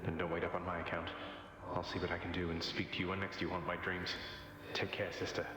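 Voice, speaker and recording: A man's voice: sits low, flat delivery, quick pace, close to an everyday voice. Man acting wry young prince, heavily echoing. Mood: gentle resignation. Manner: wry, casual, sardonic. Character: wry, cocky young underworld prince, teasing brother. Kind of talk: video game dialogue acting. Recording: heavily echoing dreamlike reverb.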